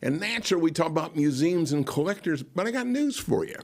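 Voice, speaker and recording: A man hosting a car culture interview, excitable and folksy, his voice a little hoarse. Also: on the high side, fast. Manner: excitable, folksy, frequent laughs. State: slightly hoarse. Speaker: podcast host. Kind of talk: casual enthusiastic interview.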